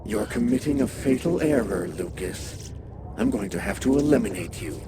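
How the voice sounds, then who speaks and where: A man playing a cold supernatural villain in a video game, measured and quietly threatening. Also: medium pitch, sing-song, vocal fry, noisy background. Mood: cold menace. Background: music.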